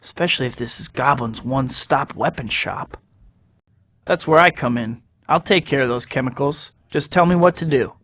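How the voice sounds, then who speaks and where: An actor voicing a young masked superhero in a video game, talking himself through each task with wry jokes. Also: male voice, mid-range voice, moderate pace, fairly bright tone, big-room sound, lightly acted. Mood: focused. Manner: wry, joking asides to himself. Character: young male masked web-slinging superhero, wisecracking. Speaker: video game hero voice clips. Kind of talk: game voice-over lines.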